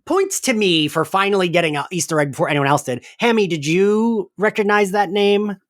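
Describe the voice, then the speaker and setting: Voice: mid-range voice, expressive, a little fast, no room sound. A podcast host in a casual fan discussion, talking in a loose, chatty way peppered with "like".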